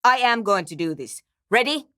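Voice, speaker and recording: Anxious impatient programmer, terse and precise, lively pitch swings, dry studio sound, video game dialogue. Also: female voice, normal speed, no echo. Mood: anxious, pleading. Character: guarded, impatient, anxious woman radio-computer programmer. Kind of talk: scripted game character dialogue.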